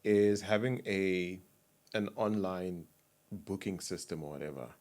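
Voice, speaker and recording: A man from Zimbabwe, a sound engineer, talking as a podcast guest in a reflective, measured way in a studio. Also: deep voice. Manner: reflective, measured, trails off softly. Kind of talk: casual interview chat.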